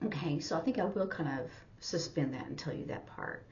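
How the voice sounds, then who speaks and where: A woman teacher retelling a story informally for a lesson, in a halting, step-by-step way. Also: low-pitched, a little mellow. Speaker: sign language teacher. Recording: dull, muffled lo-fi audio.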